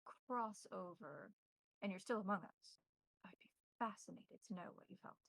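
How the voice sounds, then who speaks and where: A woman voicing a courteous, curious vampire elder in a tabletop game, speaking in measured, formal, academic phrases. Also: mid-range voice, gravelly, no room sound, rough recording. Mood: curious, placating.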